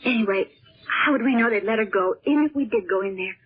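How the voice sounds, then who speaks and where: An actress playing an earnest, excitable young American girl in a 1930s radio serial, breathy and melodramatic. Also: mid-range voice, fast talker, close to an everyday voice. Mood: earnest worry. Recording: muffled, tinny 1930s radio recording.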